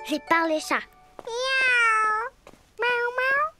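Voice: extremely high pitch, expressive, extremely slow, over music, full character voice. A performer voicing an eager, tender little boy bear cub in a preschool cartoon, with simple childlike exclamations. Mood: eager, searching. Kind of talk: French preschool cartoon acting.

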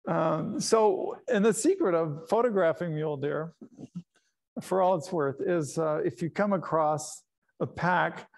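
Man, middle-aged, from Panama, gravelly voice, slightly high pitch, casual photo talk, rambling with fillers. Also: unhurried. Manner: rambling, with many fillers and restarts and chuckles. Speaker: writer and photographer giving a slide talk.

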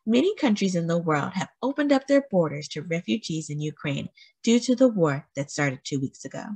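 A woman reading a children's book aloud for a classroom, slow and careful with lively rises on key words. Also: lowish voice, breathy edge, dry recording, rough recording.

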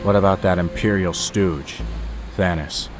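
An actor playing a gruff, sardonic smuggler turned rebel captain, casual, wry and blunt, in a fan film. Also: male voice, deep voice, steady tone, measured pace, music in the background. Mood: reluctant, blunt. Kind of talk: fan film dramatic acting.

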